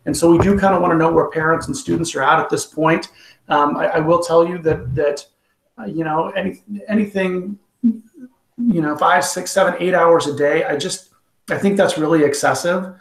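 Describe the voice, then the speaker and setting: Middle-aged man, school principal, fairly high voice, loose halting chat, laptop webcam, roomy echo. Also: gravelly. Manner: conversational, many ums and restarts. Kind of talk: online town hall update. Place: home room with wall clock. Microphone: laptop webcam on video call. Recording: echoey room on video call.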